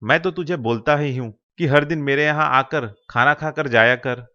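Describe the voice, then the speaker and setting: A man's voice: medium pitch, moderate pace, bright, forceful tone, lo-fi sound, natural voice. A man playing an ambitious young village man in a cartoon story, persuading a friend in an earnest way. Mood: friendly. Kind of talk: Hindi cartoon moral-story voice acting.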